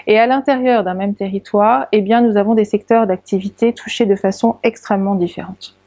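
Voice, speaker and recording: French female presenter, warm dark timbre, close dry sound, orderly explanatory delivery. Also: mid-range voice, fairly even intonation, brisk, dry recording. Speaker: presenter of an informational tutorial.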